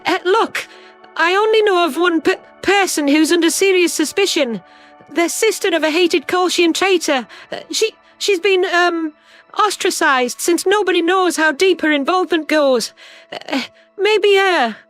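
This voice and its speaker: A woman's voice: very high voice, a little slow, a bit bright, faint music behind. A woman playing a timid, terrified alien civilian in a sci-fi audio drama, pleading in a hesitant stammer. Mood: nervous stammering. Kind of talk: audio drama character acting.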